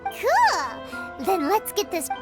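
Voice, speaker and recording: Very high, squeaky little fairy. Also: female voice, wildly swooping pitch, extremely slow, over loud music, exaggerated voice. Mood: busy, upbeat. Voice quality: squeaky, childlike. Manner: exclamatory, bubbly, speaks of self by name. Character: tiny, chirpy, childlike fairy companion, loyal and blunt. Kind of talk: anime-style game voice acting.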